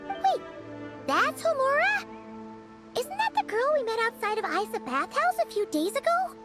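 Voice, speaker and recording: A woman voicing a chatty, excitable childlike companion in a video game, with a perky, casual delivery. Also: very high-pitched, moderate pace. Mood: curious, chatty. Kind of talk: cartoonish video game voice acting. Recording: music playing behind the voice.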